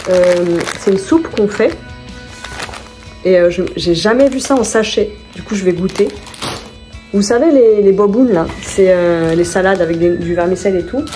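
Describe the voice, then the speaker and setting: A woman's voice: mid-range voice, moderate pace, dark tone, soft background music. A woman vlogging casually at home, chatting and rambling through her shopping haul, often stopping to correct herself and laughing at her own slips.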